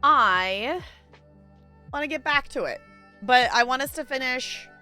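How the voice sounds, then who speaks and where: A woman with a bright, forward voice pitched a little high, talking like a game streamer to viewers. The delivery is chatty and loosely rambling, with playful digs at oneself, over some background music. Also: animated, a little slow. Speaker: video game live streamer. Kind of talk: casual livestream chat, answering viewers. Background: music behind the voice.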